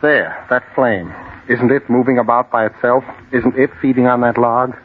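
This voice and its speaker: A man's voice: mid-range voice, fairly even intonation, normal speed, close to an everyday voice. An actor playing an obsessive, fervent man entranced by fire, earnest and insistent, in old-time radio horror style. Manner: earnest, insistent, rhetorical questioning. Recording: noisy, tinny old radio recording.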